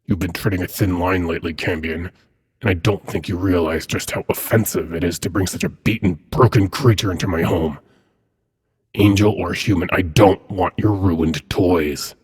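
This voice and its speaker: A suave demon prince, acted by a man, speaks in a very low register with a heavily husky, breathy voice. He is haughty and courtly, choosing his words with measured condescension. The delivery is that of a seductive fantasy audio roleplay. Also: fairly even intonation. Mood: cold anger. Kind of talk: dramatic ASMR roleplay monologue.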